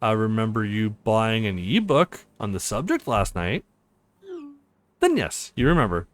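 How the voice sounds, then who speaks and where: A man's voice: on the low side, big pitch swings, a little slow, dry recording, pitched below the performer's own voice. A man voicing a wry, long-suffering visual novel protagonist in a sarcastic manner. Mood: wary, sarcastic.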